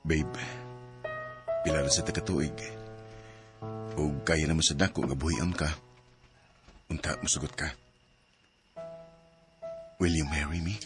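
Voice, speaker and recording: A deep, earnest young suitor. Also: male voice, steady tone, measured pace, long pauses, music in the background. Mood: tender. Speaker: narrator and lead. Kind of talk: radio drama acting.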